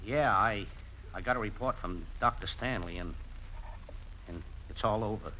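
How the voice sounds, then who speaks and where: A man's voice: on the low side, moderate pace, pushed and bright. A man playing an offbeat, casual doctor's associate in 1950s radio comedy, hesitant and prone to "uh" and "yeah". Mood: confidential. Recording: faintly noisy 1950s radio recording.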